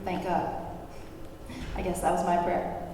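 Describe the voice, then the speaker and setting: A woman's voice: fairly low pitch, flat delivery, unhurried, dark tone. A woman playing a flippant, sweary, streetwise character in her mid-twenties at a script table read. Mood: casual, teasing. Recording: echoey room, distant mic, background noise.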